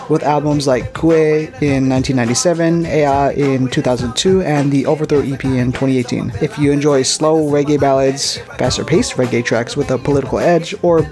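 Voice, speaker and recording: A man from Hawaii narrating a scripted music review with a wry, dry sense of humor. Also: medium pitch. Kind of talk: scripted video-essay narration.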